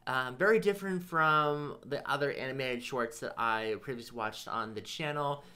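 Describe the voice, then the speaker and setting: Man, thirties, video host, casual reactions, bright tone, small indoor room. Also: mid-range voice, normal speed. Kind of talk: casual reaction commentary to camera.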